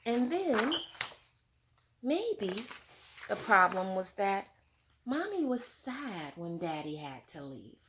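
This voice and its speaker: A woman's voice: medium pitch, big pitch swings, takes it slowly, pauses often, slight background noise. A woman reading a picture book aloud to children, then talking it over with them like a teacher and asking them questions. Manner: warm teacherly, asks questions to young listeners. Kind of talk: children's read-aloud with discussion.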